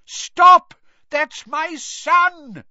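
Anxious wizard father as a male narrator puts him on, unsteady voice, pitched up, fantasy storybook. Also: very slow, pushed and bright, no echo, dull audio, exaggerated voice. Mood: frantic shouting. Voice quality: shaky.

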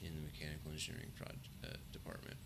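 A middle-aged engineering professor talking casually in a university lab, with a low, mumbling voice that halts and restarts. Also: male voice, monotone, vocal fry, a bit bright, a little hiss or hum. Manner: halting, restarts and trails off. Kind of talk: casual conversation. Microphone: shared podcast mic.

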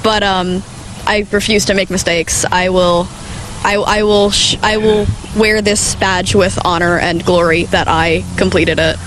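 A woman's voice: mid-range voice, fairly even intonation, a little slow, noisy background. A young woman, around her late teens, giving earnest interview answers for a news report. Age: teenager or young adult.

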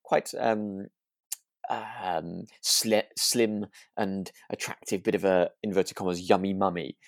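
A man's voice: low voice, steady tone, takes it slowly, no room sound. A British man talks as a podcast co-host in an easy, conversational style. His delivery is hesitant and meandering, and the sound is dry and close. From United Kingdom. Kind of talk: casual chat.

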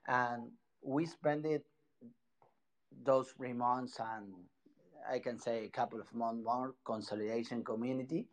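Argentine man speaking halting English. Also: medium pitch, steady tone. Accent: Argentine Spanish. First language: Spanish. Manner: halting, searching for English words. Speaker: NFT project founder, guest. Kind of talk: casual online audio chat.

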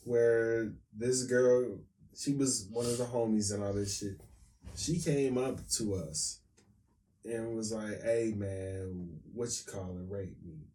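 A man's voice: fairly low pitch, steady tone, slow, mellow. An American man co-hosting a podcast, bantering and telling stories in a blunt, slangy, profane and joking way. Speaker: podcast co-host. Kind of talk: casual storytelling banter. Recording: roomy echo, distant from mic.

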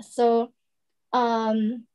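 A woman's voice: on the high side, monotone, very slow, clear, resonant tone, lo-fi sound. A woman in her forties from the Philippines with a Tagalog accent, co-hosting a podcast in casual chat, laughing often and slipping into Tagalog phrases. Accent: Filipino Tagalog. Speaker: podcast co-host.

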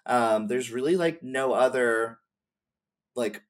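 A man's voice: medium pitch, slow. A man talking casually as a podcast guest, in an effusive, slangy way. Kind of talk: casual chat.

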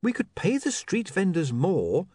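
Casting: practical young woman, high and brisk, voiced by a male reader. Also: huge pitch swings, a little mellow, husky, no echo, studio quality, full character voice.

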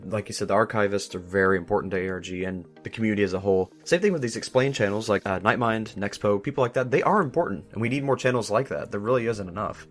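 Fast-talking man with a low voice. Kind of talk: casual panel discussion. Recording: faint music underneath. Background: soft background music.

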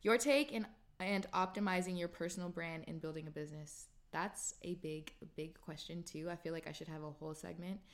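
A woman's voice: fairly low pitch, steady tone, fairly quick. A woman in her late twenties hosting a podcast, answering listener questions in a chatty, upbeat way and animating her voice when she quotes herself. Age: 20s. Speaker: podcast host. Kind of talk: casual solo Q&A podcast.

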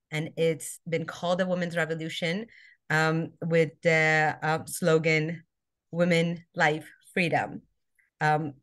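A woman from Iran, a podcast guest, answering interview questions with many pauses and ums. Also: fairly low pitch, fairly bright tone. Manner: hesitant, many ums and restarts. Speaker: podcast guest, social justice activist.

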